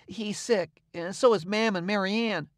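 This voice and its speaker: A man's voice: sits high, normal speed, dry recording, clean studio sound, voice pushed up, close to an everyday voice. A man voicing a frightened young boy, pleading and stammering. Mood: eager. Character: frightened young boy on a raft, quick-talking.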